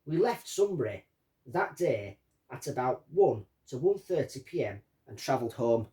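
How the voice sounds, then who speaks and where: A man reading out a witness statement and commenting on it as a true-crime host, in deliberate short phrases. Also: medium pitch, big pitch swings, warm tone. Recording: distant from the microphone.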